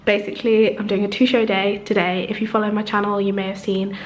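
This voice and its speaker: A young woman with a hoarse, worn-out voice, chatting casually to camera as a theatre vlogger, with lots of ums and restarts. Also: medium pitch, level tone, fast, poor audio. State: hoarse, losing her voice from illness. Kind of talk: casual vlog talk to camera. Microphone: built-in mic of a handheld compact camera. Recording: loud crowd noise and music behind. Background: crowd chatter and music.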